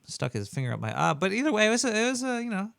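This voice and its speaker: A man's voice: high voice, sing-song, very fast, vocal fry, fairly bright tone, dry recording, studio quality. A man hosting a show, chatting casually in a cynical, rambling way and swearing freely. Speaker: radio show host. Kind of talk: casual chat.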